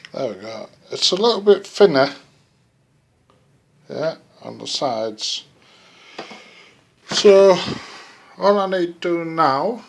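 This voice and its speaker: A man casually narrating a hands-on project as he works, halting and thinking aloud, recorded indoors on a handheld camera. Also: mid-range voice, gravelly, a bit bright, a little hiss or hum. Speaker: DIY video host. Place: room indoors. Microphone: handheld camera mic.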